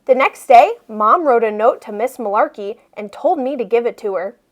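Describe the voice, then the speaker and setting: Animated high-voiced woman storyteller. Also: moderate pace. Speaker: read-aloud storyteller. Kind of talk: expressive children's picture book reading.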